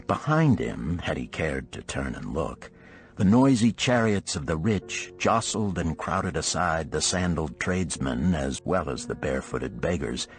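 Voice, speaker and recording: Very low-voiced man narrating a story. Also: expressive, measured pace, faint music behind. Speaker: audiobook narrator.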